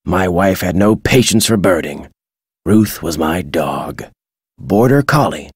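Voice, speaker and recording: Husky, crotchety old American birdwatcher. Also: male voice, deep voice, lively intonation, takes it slowly, no room sound, exaggerated voice. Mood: wistful, plainspoken. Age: older. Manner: folksy, curmudgeonly, dry. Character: crotchety retired male birdwatcher, folksy and gruff. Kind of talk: video game character dialogue.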